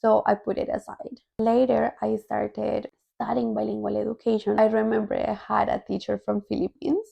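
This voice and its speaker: Colombian woman with a very creaky voice. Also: medium pitch, little pitch movement, normal speed, hardly any pauses, warm tone. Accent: Colombian Spanish. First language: Spanish. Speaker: language-learning vlogger. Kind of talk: casual personal storytelling to viewers.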